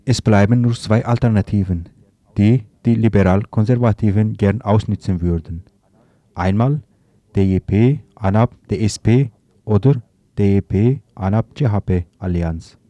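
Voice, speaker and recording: A man's voice: on the low side, moderate pace, mellow, no echo, highs cut off. A man reading a scripted political commentary on the radio, stumbling now and then over words.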